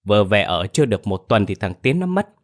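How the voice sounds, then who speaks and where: A man voicing a sharp-tongued elderly mother-in-law who argues in a whiny, defensive way. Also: big pitch swings, fast talker. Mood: scornful. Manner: shrill, whiny, argumentative.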